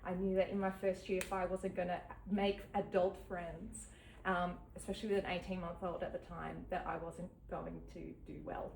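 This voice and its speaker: An Australian woman in her thirties from New South Wales gives an unscripted artist talk, halting often with ums. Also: lowish voice, flat delivery, vocal fry. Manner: halting, with many ums and soft laughs. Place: gallery event space with an audience. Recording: roomy, from some distance, faint background noise.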